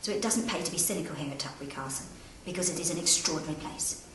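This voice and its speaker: Woman castle guide telling stories, kinda formal n dramatic, lowish voice, loads of room echo, slightly noisy. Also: very fast, fairly bright tone. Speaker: castle guide and historical interpreter. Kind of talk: storytelling to camera. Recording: echoey great hall, distant mic, background noise.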